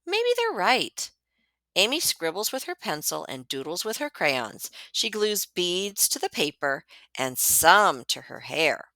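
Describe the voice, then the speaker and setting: A woman reads a picture book aloud to children at a slow, deliberate pace. Her voice is bright and swoops expressively as she leans on key words. The sound is close and dry. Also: deep voice, big pitch swings, no room sound. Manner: dramatic, deliberate storytelling with emphasized words. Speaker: librarian reading aloud to students.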